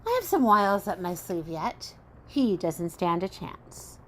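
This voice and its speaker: A woman's voice: on the low side, big pitch swings, a little slow, fairly bright tone, slight background noise. A woman playing a proud, obsessive, seductive succubus in an audio horror drama, boastful and defiant.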